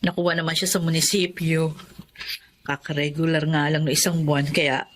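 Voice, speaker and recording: A woman's voice: low-pitched, little pitch movement, normal speed, slight background noise, natural voice. An actress playing a hardworking, caring middle-aged Filipino mother who fusses a little, in radio drama style. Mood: humble, earnest. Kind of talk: Tagalog radio drama acting.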